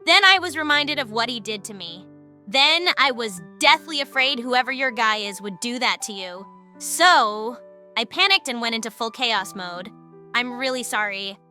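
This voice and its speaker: A woman voicing a hyperactive, nosy, chatty female character in an animated web series, earnest and dramatic. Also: a little high, normal speed, soft background music. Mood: sad, confessional.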